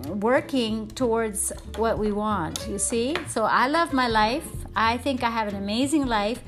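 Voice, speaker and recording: Bubbly woman. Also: a little high. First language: Spanish. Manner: upbeat, bubbly, encouraging, with frequent laughs. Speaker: podcast host and fitness coach. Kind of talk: enthusiastic motivational podcast talk.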